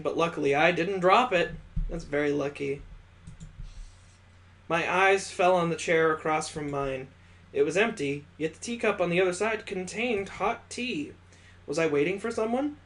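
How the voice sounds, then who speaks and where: A man hosting a gaming video, reading a visual novel aloud expressively with casual asides. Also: on the high side.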